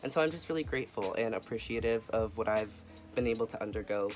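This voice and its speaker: A young man in a casual video update, talking earnestly and softly with many ums and uhs. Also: fairly low pitch, fast, soft background music. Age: young adult. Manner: soft-spoken, earnest, with frequent fillers. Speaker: vlogger.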